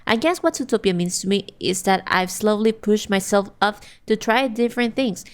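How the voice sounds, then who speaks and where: A woman co-hosts a podcast, chatting casually and hesitating often with fillers as she hunts for the right word. Her voice is smooth and clear, at a middle pitch. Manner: halting, with many fillers. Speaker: podcast co-host.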